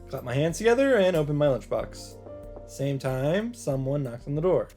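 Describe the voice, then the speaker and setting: A man's voice: on the high side, sing-song, normal speed, dark tone. Casual male let's player over game music. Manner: reacts with asides to the story. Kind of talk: casual commentary reading a visual novel aloud. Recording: music behind the voice.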